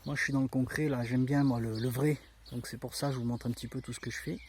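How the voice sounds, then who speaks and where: A man's voice: mid-range voice, quick pace, slight background noise. Chatty man with a southern French accent. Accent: southern French (Var). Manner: chatty, relaxed, frequent fillers. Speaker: vlogger. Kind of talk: casual walk-through tour. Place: outdoors in countryside garden. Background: faint birds and rural ambience.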